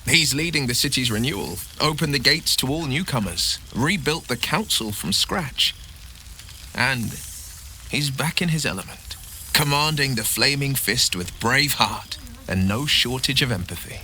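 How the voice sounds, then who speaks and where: An actor playing a loyal, noble-minded adventurer with wry humour and an earnest storytelling manner, in a video game. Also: male voice, medium pitch, lively intonation, unhurried, sharp tone, slight background noise, full character voice. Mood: proud, earnest. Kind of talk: video game dialogue acting.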